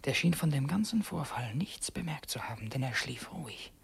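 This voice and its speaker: An actor playing a secretive, brooding would-be assassin in a radio drama, intense and introspective, as if confessing to himself. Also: male voice, mid-range voice, animated, vocal fry, husky, exaggerated voice. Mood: hushed, reflective. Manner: intense, introspective, halting self-questioning. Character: brooding young male conspirator and would-be assassin, torn and secretive.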